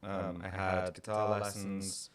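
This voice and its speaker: A man streaming himself learning a guitar song, his voice hoarse and raspy after a day of screaming vocals, chatting casually with viewers and muttering while he plays. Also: deep voice, slow. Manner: relaxed, many fillers, muttering to himself while playing. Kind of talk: casual chat to live viewers. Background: guitar playing.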